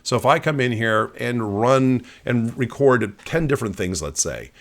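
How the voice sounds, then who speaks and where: A man presenting a casual, conversational software walkthrough, explaining as he goes. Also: medium pitch, expressive, normal speed. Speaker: tech tutorial presenter. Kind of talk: casual screen-share walkthrough.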